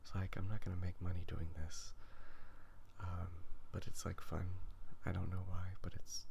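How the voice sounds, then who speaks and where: A man softly rambling in a casual ASMR style, half-whispering in a hesitant, halting, self-deprecating way. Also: low voice, level tone. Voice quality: hushed, breathy, half-whispered. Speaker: artist hosting a drawing ASMR video. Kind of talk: soft-spoken ASMR, casual rambling while drawing. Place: sitting on the ground while drawing. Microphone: phone recording. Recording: faint background noise with clicking.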